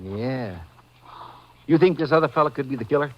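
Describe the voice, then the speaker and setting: A man's voice: medium pitch, animated, normal speed, lightly acted. Small-town sheriff for a male actor, average register, homespun and inquisitive, vintage radio drama with muffled sound. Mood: pondering. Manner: folksy, drawn into puzzling aloud. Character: folksy, questioning small-town Texas sheriff, male sidekick. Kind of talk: 1950s radio drama acting. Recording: dull old radio recording, faint noise.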